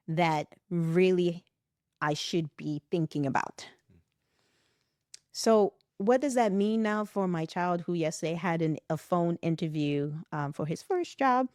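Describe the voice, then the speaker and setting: A middle-aged woman from West Africa, a podcast guest in a reflective conversation, telling animated stories, laughing often and acting out the voices she quotes. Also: on the low side, no echo, studio quality.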